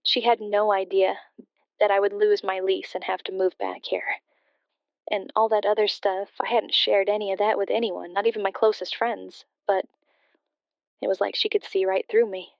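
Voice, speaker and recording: A woman's voice: medium pitch, level tone, moderate pace, gravelly, no echo, natural voice. A woman playing a grieving daughter phoning a late-night radio show, telling her story in a hesitant, subdued way over a muffled line. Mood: hesitant, wistful. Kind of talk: confessional storytelling in radio drama. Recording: muffled, band-limited phone-in sound.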